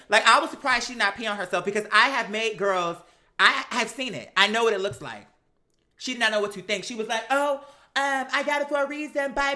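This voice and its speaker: A woman doing casual gossip commentary on reality TV, sassy and animated, with slangy, profane talk and mocking quotes. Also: a little high. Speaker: host of a live commentary show.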